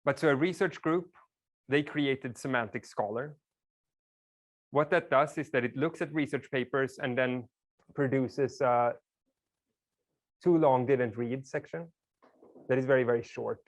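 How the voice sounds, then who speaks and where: A man whose first language is Swedish lectures a class in a relaxed, explanatory way. His voice sits at an ordinary middle pitch, and he often drops in casual fillers. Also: measured pace, pauses often.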